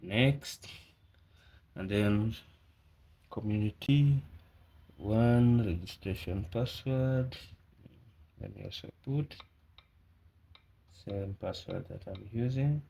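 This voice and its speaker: A man presenting a casual hands-on unboxing and setup tutorial, speaking haltingly in short phrases and murmuring as he works. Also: on the low side, warm tone. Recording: clear background noise with clicking.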